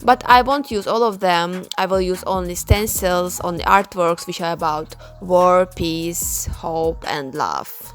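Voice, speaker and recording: Halting, emotional woman with faint music. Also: lowish voice. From Ukraine. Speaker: clothing customization creator. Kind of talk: personal vlog voice-over.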